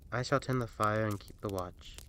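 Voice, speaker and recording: A man narrating a scripted fairy tale as an expressive, theatrical bedtime storyteller. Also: fairly low pitch, moderate pace, a little hiss or hum.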